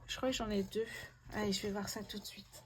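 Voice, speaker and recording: A woman's voice: mid-range voice, steady tone, brisk, background noise. Effusive woman reading tarot cards. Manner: effusive, awestruck, repeats herself in wonder. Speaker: host, tarot card reader. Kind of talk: casual tarot reading addressed to viewers.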